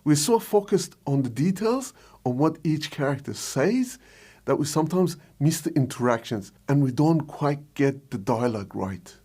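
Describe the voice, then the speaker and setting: A man's voice: a little high, animated, normal speed, warm tone. A man presenting a scripted explainer as a video host and filmmaker. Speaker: video host, short film director.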